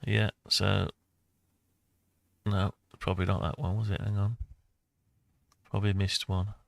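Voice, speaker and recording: Low, even-toned older man, thinking aloud. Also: a little slow, creaky, dry recording. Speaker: maker and video host. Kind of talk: casual screen-recorded tutorial talk.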